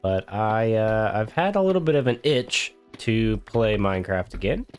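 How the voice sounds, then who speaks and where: A man's voice: on the low side, expressive, normal speed. A man hosting his own gaming video, casually narrating while he builds, his voice congested from a cold. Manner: easygoing, rambling, talks while building. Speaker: gaming channel host. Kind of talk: casual gameplay commentary.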